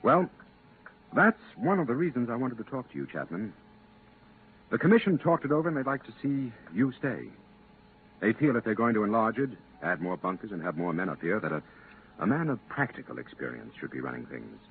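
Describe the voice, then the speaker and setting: Official envoy captain, low voice, polite, coaxing, halting, 1950s radio drama, tinny sound with faint hiss. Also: male voice, very animated. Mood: persuasive. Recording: tinny, band-limited, hissy 1950s radio recording.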